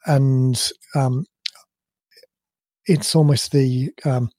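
A man co-hosting a podcast in casual chat, his voice a bit croaky, thinking aloud in long rambling sentences. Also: mid-range voice, fairly even intonation, very slow, dark tone, no room sound. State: slightly croaky voice. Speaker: podcast co-host.

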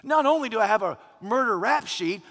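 A preacher acting out a reluctant old prophet in his eighties, his voice pushed up high and bright as he protests that someone else should go. The complaints ring out into a long, echoing church hall. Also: animated, fairly quick. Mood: exasperated. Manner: whiny, protesting, exasperated pleading.

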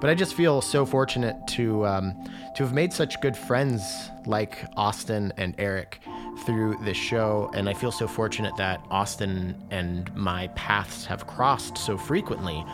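A man's voice: fairly low pitch, a little slow, over music. A man in his thirties hosting a podcast, talking casually with many fillers and restarts, then interviewing a guest. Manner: rambling, filler-heavy, enthusiastic. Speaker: podcast host.

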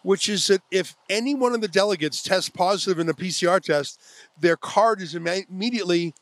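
Chatty man interviewer, higher voice. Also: a little fast, fairly bright tone, no room sound. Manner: affirming, chatty, leading questions. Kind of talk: conversational interview. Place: conference venue.

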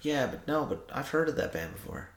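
A man co-hosting a podcast, reacting in quick, animated bursts with frequent hesitations. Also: on the high side. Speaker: podcast co-host. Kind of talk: casual chat. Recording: roomy echo.

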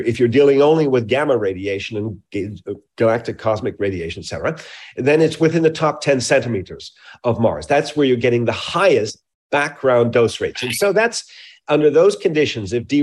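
A man with a mid-pitched voice speaks as an expert guest in a science interview. He explains things in technical terms, choosing precise words and stressing key points. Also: darkish tone.

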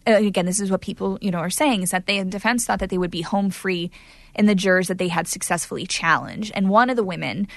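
A woman's voice: medium pitch, fast, gravelly, no room sound. A woman reporter recounting a trial in a chatty way, with many fillers. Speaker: court reporter guest. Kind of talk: conversational interview answers.

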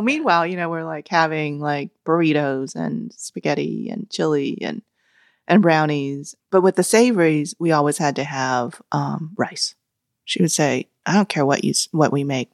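Donna loquace dalla voce grave. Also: female voice, sits low, normal speed, creaky, no echo, clean studio sound. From Vietnam. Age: 40s. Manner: animated, laughs often, acts out family quotes. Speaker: guest. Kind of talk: casual storytelling interview.

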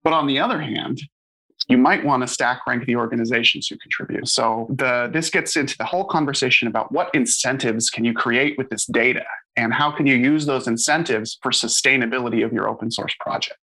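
Bright-voiced man explaining casually. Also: mid-range voice, a little fast, low-quality recording. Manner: casual, explanatory, frequent fillers. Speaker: open source association staff member. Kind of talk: conversational panel talk.

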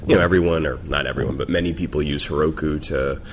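A man's voice: extremely low pitch, steady tone, fast talker, creaky, a little hiss or hum. A man hosting a podcast, asking questions and chatting casually with his guest. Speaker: podcast host.

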